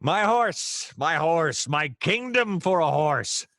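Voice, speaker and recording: A man's voice: on the high side, animated, slow, fairly bright tone, dry recording, studio quality, heavily acted. A man playing a wry, self-pitying private detective in a noir radio play, narrating and speaking with theatrical flourish. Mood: melodramatic despair.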